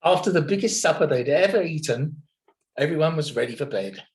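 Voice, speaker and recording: A man narrating a children's storybook in an expressive, dramatic manner. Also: a little high, brisk. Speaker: children's story reader at a primary school.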